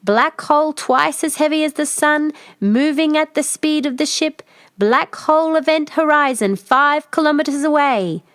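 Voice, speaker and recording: A high-voiced computer aboard a storybook spaceship, acted out by a woman. It makes urgent announcements to its crew. The sound is dry and close. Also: moderate pace, a bit bright, dry recording. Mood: alert. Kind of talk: picture book character voice.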